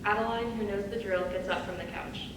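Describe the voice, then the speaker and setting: A woman's voice: mid-range voice, fairly even intonation, brisk. A woman reading scripted stage directions as a narrator in a live reading. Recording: distant echoey hall, clear background noise.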